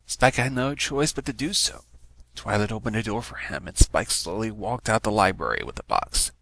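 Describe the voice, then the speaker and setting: A man's voice: lowish voice, expressive, normal speed, gravelly, a bit bright, a little hiss or hum. A man reading fan fiction aloud as a scripted story narration.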